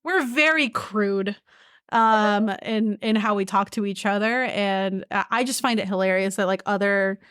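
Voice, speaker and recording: A woman's voice: medium pitch, measured pace, a bit bright, smooth, no echo, clean studio sound. A young woman from Venezuela with an American accent and Spanish as her first language, chatting candidly on a podcast, swearing freely and self-deprecating. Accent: American English. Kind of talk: casual podcast chat.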